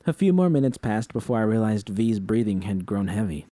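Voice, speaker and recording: A man narrates first-person fiction in a low, dark voice. It is a scripted audiobook read with a close, dry sound and no room around it. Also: very animated, a little fast, no echo.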